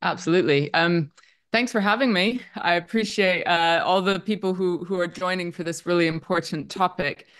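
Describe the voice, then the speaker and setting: Dutch woman, kinda canadian accent, smooth clear voice, reflective, lots of likes and ums. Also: on the low side, moderate pace, fairly bright tone. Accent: somewhat Canadian. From Netherlands. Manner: open, reflective, with fillers like "um" and "like". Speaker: guest, psychotherapist. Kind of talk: telling her story on a video call. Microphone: video call.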